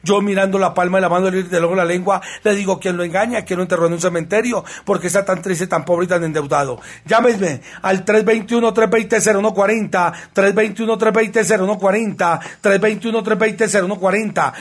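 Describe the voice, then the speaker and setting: A man making an insistent radio ad pitch for his psychic readings, repeating his phone number again and again. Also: steady tone, fairly quick, few pauses.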